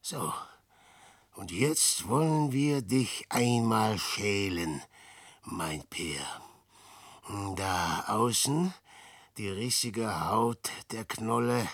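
An actor playing a weary, self-mocking old adventurer, muttering theatrically to himself in verse in a 1960s radio drama. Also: male voice, on the low side, gravelly, a bit bright, no room sound, studio quality, full character voice.

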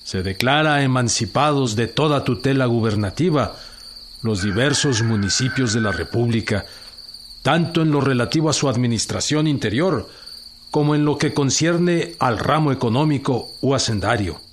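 Guy playing revolutionary reading out a decree, solemn n formal, medium pitch, radio play, faint noise behind. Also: normal speed, natural voice. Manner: formal, declamatory. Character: solemn revolutionary-era man proclaiming a decree. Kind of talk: formal proclamation in a radio drama.

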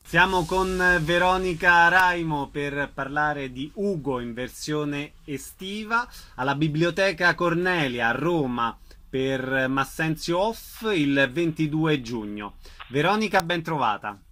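Bright-voiced male radio interviewer. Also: a little high, animated, slow. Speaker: radio host.

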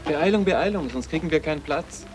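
A man's voice: on the high side, brisk, background noise, natural voice. An actor playing a sarcastic, detached teenage boarding-school boy in a radio play, with terse, ironic and poetic phrasing. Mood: sardonic, brisk. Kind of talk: 1980s German radio play acting.

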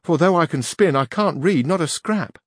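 A man's voice: on the high side, expressive, normal speed, no room sound, voice pushed up. A humble, sturdy countrywoman past forty, voiced by a male reader. She talks plainly and sounds flustered. Her voice sits above the reader's own in a dry, close room.

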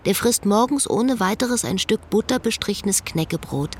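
An actress playing a melancholy, wry woman who narrates and speaks in a literary radio play, measured and terse. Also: mid-range voice, lively intonation, a little hiss or hum, lightly acted. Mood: pensive. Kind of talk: literary radio play, inner monologue and dialogue.

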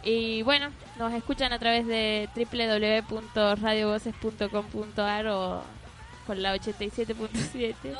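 A woman co-hosting a studio radio show with playful, quick interjections. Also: a little high, steady tone, a bit bright, faint music behind, poor audio. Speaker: radio co-host. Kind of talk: casual studio radio chat. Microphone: studio microphone.

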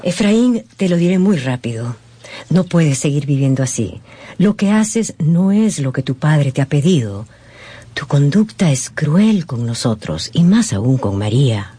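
A woman's voice: low voice, big pitch swings, measured pace, warm tone, a little hiss or hum. An actress playing an anxious, loving middle-aged mother who pleads emphatically with her son in a radio drama. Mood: urgent, reproachful.

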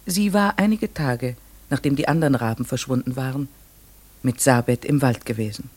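Male actor as inquisitive radio-play narrator, earnest, musing, slightly rough voice, dry close sound. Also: mid-range voice, a little mellow, no room sound, natural voice. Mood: reflective. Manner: deliberate, musing, rhetorical questioning. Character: earnest, inquisitive narrator puzzling over mysterious ravens.

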